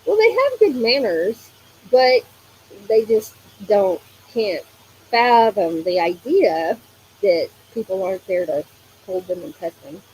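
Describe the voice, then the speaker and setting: A woman hosting a crafting livestream, chatting casually and reading comments aloud. She is a bit hoarse from allergies, uses a lot of fillers and often breaks into laughter. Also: medium pitch, very animated, takes it slowly, a little mellow, slight background noise, low-quality recording.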